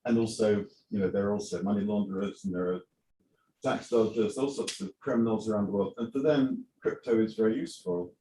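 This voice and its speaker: A man's voice: lowish voice, steady tone, brisk, mellow. A blunt man answers from a panel, his words carrying across an echoey conference hall from some distance. He thinks aloud with many ums and dismisses what he dislikes, with a faint hum of ambience behind him.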